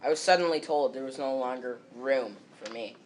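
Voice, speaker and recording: A man's voice: mid-range voice, a little fast. 7th grade boy reading a readers theater script, bit of background noise. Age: about 12 to 13, seventh grader.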